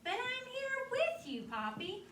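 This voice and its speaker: An excitable little storybook child acted by a woman squeals playfully at a very high pitch. The sound is roomy and distant, with noise behind it. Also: slow, heavily acted. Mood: eager. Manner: squealing, exclamatory. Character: excitable young child narrator of a picture book, squealing playfully. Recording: roomy, distant mic with clear background noise.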